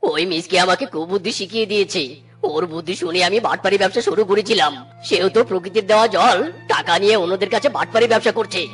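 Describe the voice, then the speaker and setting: A man's voice: high-pitched, brisk, fairly bright tone. A man voicing an aging tiger uncle, an earnest, folksy chilli farmer in a Bengali cartoon, given to exclamations. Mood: remorseful. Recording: music bed under the voice. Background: background music.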